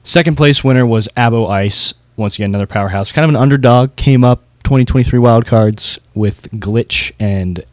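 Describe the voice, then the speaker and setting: A man's voice: mid-range voice, normal speed, few pauses, darkish tone, no echo, studio quality. A man hosting a podcast, talking through competitors and his opinions in a casual, informal way. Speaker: podcast host. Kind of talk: casual discussion of beatbox competitors.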